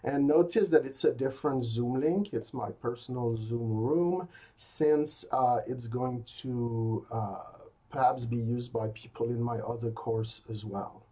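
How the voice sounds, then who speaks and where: A middle-aged man with a Québécois French accent lectures from his office in a mid-range voice. His delivery is halting, full of fillers and restarts, as he walks students through course details. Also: mid-range voice, mellow. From rural Quebec. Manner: hesitant, many fillers and restarts. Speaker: university logic lecturer. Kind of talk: pre-recorded lecture. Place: university office.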